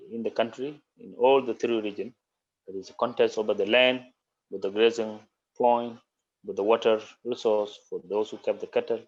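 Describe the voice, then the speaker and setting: A South Sudanese man speaks in a low voice over a dull, lo-fi video call. He answers as an official would, formally and deliberately, with legalistic phrasing. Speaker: human rights commissioner, interview guest.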